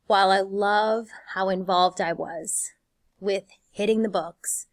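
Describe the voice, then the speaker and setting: Slow, encouraging woman teacher. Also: mid-range voice, steady tone, no echo. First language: Spanish. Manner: earnest, encouraging, measured. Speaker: high school Spanish teacher. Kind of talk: scripted welcome message to students.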